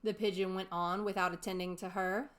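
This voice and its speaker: A woman serves as the reader of a storybook. Her voice sits at a medium pitch, delivering scripted narration in an audiobook style. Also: flat delivery, brisk.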